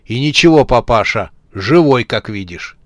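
A man's voice: mid-range voice, expressive, normal speed, no room sound, natural voice. A man voicing a cheeky younger male bandit in an audio story, sliding between mock-refined speech and street slang. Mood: brash, impatient.

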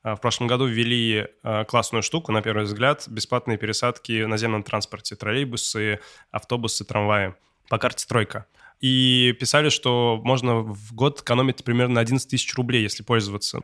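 A man's voice: mid-range voice, a bit bright. Man in casual podcast discussion. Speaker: podcast co-host.

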